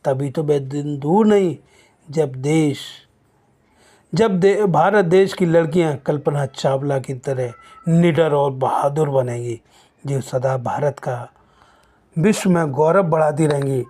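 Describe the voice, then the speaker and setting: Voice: mid-range voice, slow, warm tone. A narrator voicing a proud, progressive older father in a storybook tale, earnest and declamatory. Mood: proud, rousing.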